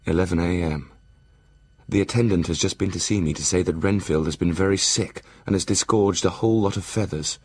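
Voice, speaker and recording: Brooding victorian doctor reading out his journal, deep husky voice, measured and formal, audio drama. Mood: measured, observant. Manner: measured, formal, literary delivery.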